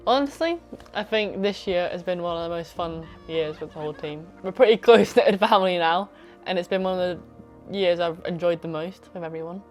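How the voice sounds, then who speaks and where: A woman's voice: on the low side, brisk, soft background music. Chatty young woman racer. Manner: warm, chatty, laughs easily. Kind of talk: documentary interview.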